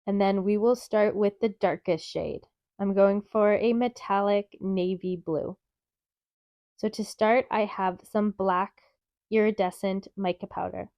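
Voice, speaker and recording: A woman with a stuffy, nasal voice from a cold, calmly explaining steps in a craft tutorial. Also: medium pitch, unhurried, no echo, dull audio. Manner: calm, methodical, chatty explaining. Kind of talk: step-by-step craft tutorial narration.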